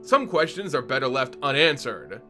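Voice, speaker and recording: A man reading aloud who voices a calm, ominous ancient female dragon in a measured, warning tone. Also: mid-range voice, soft background music. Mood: grave. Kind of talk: dramatic story reading.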